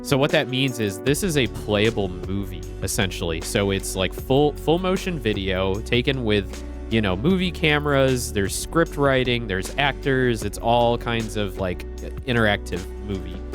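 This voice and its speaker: A man's voice: mid-range voice, lively intonation, moderate pace, music in the background. A man streaming a retro game, chatting casually and greeting viewers by name.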